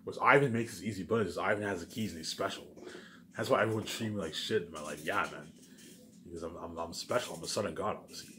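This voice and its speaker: A man speaks very quickly in a fairly low voice, rambling informally to his viewers. He circles back over the same phrases in an insistent, boastful way. There is noticeable noise behind him. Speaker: video maker talking to viewers. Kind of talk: rambling casual monologue to camera.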